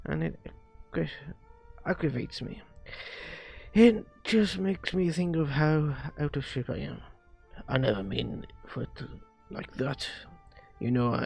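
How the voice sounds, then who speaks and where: A man streaming a visual novel, reading its dialogue aloud haltingly and often stumbling and rereading lines. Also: on the high side, vocal fry, darkish tone, husky. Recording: music behind the voice. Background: music.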